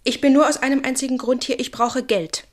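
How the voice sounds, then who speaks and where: An actress playing a proud, urgent young stenotypist in a radio play. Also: female voice, high voice, steady tone, brisk, close to an everyday voice. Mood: firm, urgent. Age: young adult.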